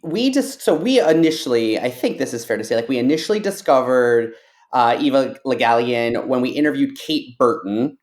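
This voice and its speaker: Guy hosting a podcast, chatty and opinionated, medium pitch, says like a lot. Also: lively intonation, normal speed, a little mellow. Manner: chatty, opinionated, uses "like" often. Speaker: podcast host. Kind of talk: casual interview chat.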